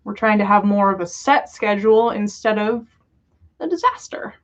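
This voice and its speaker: A woman's voice: medium pitch, animated, normal speed, highs cut off. A woman hosting a livestream, reading stories and chatting casually in a playful, giggly manner. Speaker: podcast host reading listener stories. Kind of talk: livestream storytelling and casual chat.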